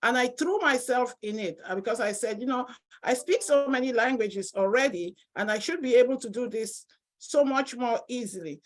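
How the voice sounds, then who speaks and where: A woman's voice: on the high side, normal speed, poor audio. Cameroonian-accented woman giving an academic talk. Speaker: associate professor, seminar speaker. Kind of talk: academic presentation, personal and informal.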